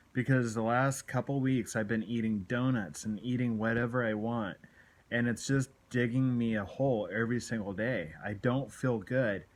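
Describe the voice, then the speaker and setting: Earnest man in his late forties. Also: lowish voice. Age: late 40s. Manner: earnest, repetitive, exhorting. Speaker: self-help video blogger. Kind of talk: candid confessional motivational talk to camera. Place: small room at home, indoors. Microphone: phone at arm's length.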